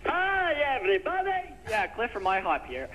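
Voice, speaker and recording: A man's voice: extremely high pitch, wildly swooping pitch, moderate pace. A man phoning in to a live sports show as a caller, heard over an old broadcast. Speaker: phone-in caller. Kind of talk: prank call to a live show. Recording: echoing phone call, faint noise, old broadcast.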